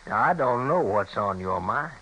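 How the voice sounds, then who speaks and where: Casting: bullying American frontier villain, hard bright voice, swinging pitch, surly, old radio Western. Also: male voice, lively intonation, normal speed, slightly rough, full character voice. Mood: smug, defiant. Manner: curt, surly, challenging. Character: mean, defiant outlaw rancher, bullying grown son. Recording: noisy old radio recording.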